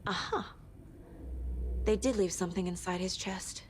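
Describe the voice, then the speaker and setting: A woman playing a composed, analytical FBI agent in a video game, speaking in a measured, matter-of-fact way. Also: mid-range voice, slight background noise, lightly acted. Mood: focused, pondering. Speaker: investigator.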